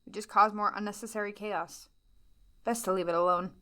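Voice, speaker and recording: A woman's voice: medium pitch, fairly even intonation, a little fast, sharp tone, natural voice. A woman acting in an audio drama, playing a jaded young woman who speaks with bitter insistence. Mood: grim.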